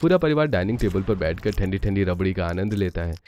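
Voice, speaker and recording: A man's voice: low voice, expressive, fast talker, a little mellow. A man reading scripted narration for a cartoon family story.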